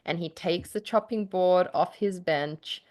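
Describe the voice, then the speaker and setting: A woman in a casual chat between writers, telling stories in an effusive, animated way with the odd casual swear word. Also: lowish voice, unhurried.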